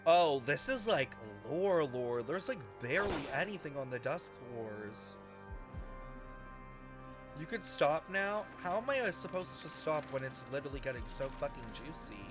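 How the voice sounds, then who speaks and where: A young man streaming a video game with casual live commentary, expressive, slangy and swearing freely. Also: fairly high pitch, moderate pace, over music.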